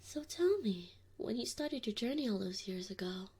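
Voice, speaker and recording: A woman playing a doting, gently teasing wolf mother in an ASMR roleplay, speaking softly and often in a whisper. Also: mid-range voice, expressive, noisy background, lightly acted. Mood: warm, teasing. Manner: soft, slow, often whispered. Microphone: binaural mic.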